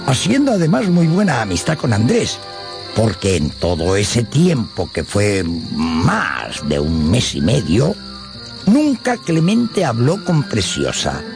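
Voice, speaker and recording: A man's voice: medium pitch, gravelly. A man narrating a radio drama as a theatrical storyteller who addresses his listeners conversationally. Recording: music under the voice.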